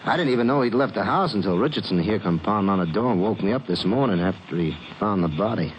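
Guy doing a menacing country farmhand, rural american drawl, low voice, old noisy radio western. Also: animated, quick pace, lightly acted. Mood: evasive. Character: murderous farmhand, cold and menacing, feigning drowsy innocence. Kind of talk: 1950s radio western acting.

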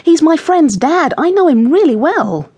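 Earnest schoolgirl for a storybook female reader playing her: eager, breathless, high, animated. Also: measured pace, breathy edge. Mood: protesting. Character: earnest, impulsive young girl, loyal and polite. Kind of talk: children's audiobook character voice.

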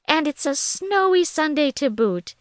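A woman's voice: sits high, animated, a little slow, no room sound, clean studio sound, pitched above the performer's own voice. A woman voicing a flustered young woman teacher who rambles nervously, in audiobook dialogue. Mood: flustered, apologetic. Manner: nervous, self-conscious rambling.

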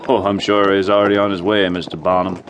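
An actor playing a gruff, commanding frontier colonel in a radio drama, speaking curtly and decisively. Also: male voice, low-pitched, little pitch movement, fast. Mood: stern, resolute. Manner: curt, commanding.

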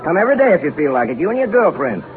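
A cocky carnival charmer, brusque and swaggering. He talks fast with a lively, swooping pitch on a tinny old radio recording. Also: faint music behind. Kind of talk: 1930s radio drama acting. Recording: tinny, noisy 1930s radio broadcast.

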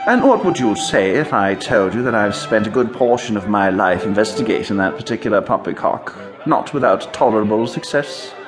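An actor playing a grave, scholarly gentleman detective of the supernatural in a radio drama, with formal, precise phrasing. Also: on the low side, animated, moderate pace, few pauses, over music, close to an everyday voice. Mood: measured, grave. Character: measured gentleman ghost hunter, grave and scholarly psychical detective.

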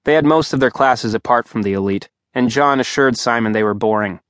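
Male audiobook narrator, mid pitch, wry delivery, dry studio sound. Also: brisk, a bit bright, dry recording. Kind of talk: scripted narration. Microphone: studio microphone.

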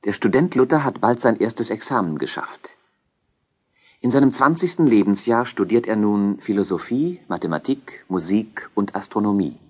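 A man narrates scripted history in a fairly low, husky voice. His delivery moves through a very wide range of pitch. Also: lively intonation, normal speed.

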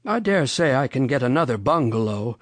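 A reader voicing a genial, excitable old gentleman rabbit from a storybook, polite and given to exclaiming. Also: medium pitch, a little fast, no room sound. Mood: polite, resigned. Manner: polite, exclamatory, wondering aloud.

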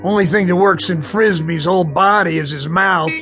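A man's voice: high voice, normal speed, sharp tone, soft background music. A man playing a mocking small-town wisecracker in a radio drama, sarcastic and American-accented.

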